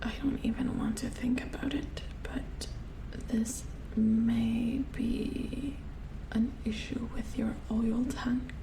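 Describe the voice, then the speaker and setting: A woman playing an attentive, mildly worried robot repair engineer in a soft-spoken ASMR roleplay, murmuring hesitantly in a breathy whisper as she works. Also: on the high side, steady tone, slow, music in the background, low-quality recording. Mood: worried, puzzled.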